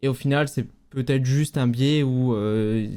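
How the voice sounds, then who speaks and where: A man who works as a native mobile app developer, talking casually as a guest in an interview, relaxed and informal with frequent hesitations. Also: medium pitch, measured pace, smooth. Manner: relaxed, informal, many fillers.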